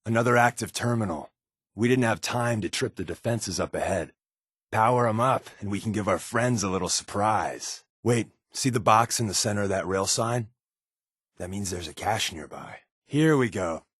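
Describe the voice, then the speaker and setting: Low-voiced, wisecracking undercover rebel spy. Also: male voice, moderate pace, fairly bright tone, husky, no echo, clean studio sound. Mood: wry, briefing. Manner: wry, sardonic, slangy. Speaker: companion character in a post-apocalyptic video game. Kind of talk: conversational video game voice acting.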